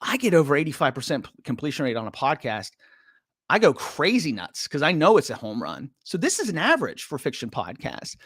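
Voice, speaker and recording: A man's voice: a little high, wildly swooping pitch, brisk, a bit bright, slightly rough, no echo. Chatty middle-aged man, dry sound. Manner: loose and chatty, with many fillers and restarts. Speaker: podcast company president and host. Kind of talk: casual presentation with slides.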